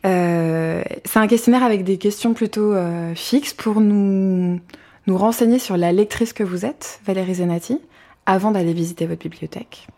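A woman interviewing a guest at home, asking questions in a soft, measured way with small fillers. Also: medium pitch. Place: apartment living room, seated on a sofa.

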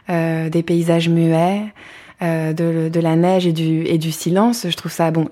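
Woman, interviewer, fairly low pitch, soft, measured questions. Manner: soft, measured, asks with small fillers. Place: apartment living room, seated on a sofa.

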